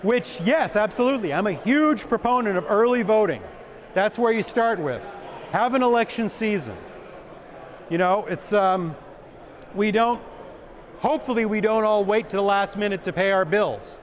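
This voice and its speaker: A man with a big booming voice, giving a conference talk and answering questions with jokes. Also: expressive, moderate pace, a little hiss or hum. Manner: joking, self-deprecating, repeats questions aloud. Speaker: conference speaker. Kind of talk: presentation and Q&A.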